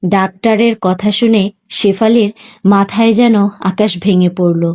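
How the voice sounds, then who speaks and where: A woman's voice: mid-range voice, fairly even intonation, a little slow, darkish tone. A woman narrating a story in a scripted, dramatic storytelling style.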